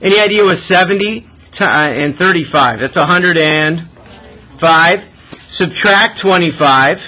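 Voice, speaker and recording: A man's voice: a little high, measured pace, sharp tone. A man teaching a math class informally, working a problem aloud and often checking in with short questions. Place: classroom with students. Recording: noisy classroom recording.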